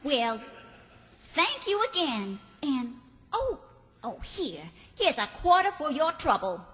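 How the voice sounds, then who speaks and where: A woman's voice: high-pitched, big pitch swings, slow pace, fairly bright tone, exaggerated voice. A woman playing a courteous young mother in an old-time radio comedy. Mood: brisk, grateful. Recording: reverberant hall stage recording.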